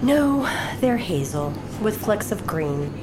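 An actress plays a playfully stubborn woman in an audio drama. She speaks slowly and bickers insistently, with clear vehicle noise behind her. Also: mid-range voice, sing-song.

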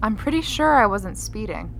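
A woman's voice: mid-range voice, lively intonation, moderate pace, vocal fry, a little hiss or hum, rough recording. An actress playing an anxious but insistent young woman in an audio drama. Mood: nervous, puzzled.

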